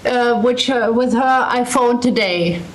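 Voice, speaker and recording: High-voiced German woman, slow formal greetings. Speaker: city representative giving greetings. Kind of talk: short formal greeting speech. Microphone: video call. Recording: noisy video call with crowd sound.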